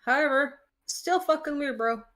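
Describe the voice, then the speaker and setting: Woman, thirties, slightly high voice, blunt, teasing, casual chat. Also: normal speed, a bit bright. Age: 30s. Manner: blunt, teasing, repeats her point.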